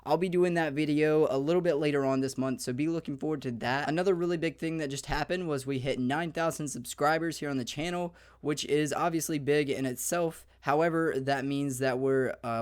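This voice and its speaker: A young man hosting a gaming channel, slightly hoarse, talking through a beginner's game guide in an upbeat, chatty way with many fillers. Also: on the high side, fairly even intonation, brisk, few pauses. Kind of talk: casual gaming guide talk.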